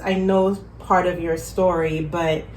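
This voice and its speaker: A woman hosting a casual chat, lively and joking, with slangy asides. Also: on the low side, unhurried. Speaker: host. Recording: echoey room, faint ambient noise.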